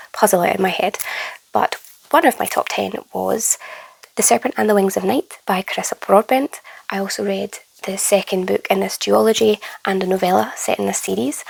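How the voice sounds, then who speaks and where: A young woman chatting to camera about her favourite books, her voice hoarse and croaky from a flu, enthusiastic and casual, often trailing into a breathy near-whisper. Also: mid-range voice, rough recording. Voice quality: hoarse, gravelly. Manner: chatty and enthusiastic, drifting into soft whispery stretches. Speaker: book vlogger. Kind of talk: casual reading wrap-up to camera.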